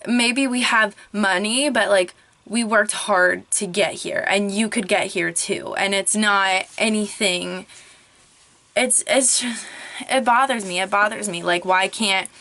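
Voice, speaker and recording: A young woman vlogger, slightly hoarse from losing her voice, ranting casually to a camera propped up in her closet, full of "like" and "um". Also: medium pitch, a little slow, sharp tone, no echo. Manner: chatty, frequent "like" and "um", rising frustration. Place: small closet at home, clothes all around. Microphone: camera propped up on a crib.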